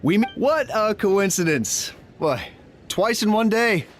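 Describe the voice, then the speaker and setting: A man's voice: sits high, expressive, measured pace, a little hiss or hum. An actor playing a polite but nervous ship captain in a video game, hesitant and stammering as he talks. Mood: startled, awkward. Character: evasive, nervous, polite sailor, married merchant-ship captain.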